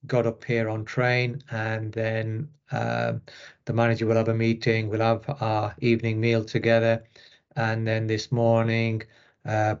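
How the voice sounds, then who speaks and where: Northern English man, flat even delivery. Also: lowish voice, muffled recording. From Rochdale, northern England. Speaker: interview guest, sports medicine consultant. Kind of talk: conversational interview answers.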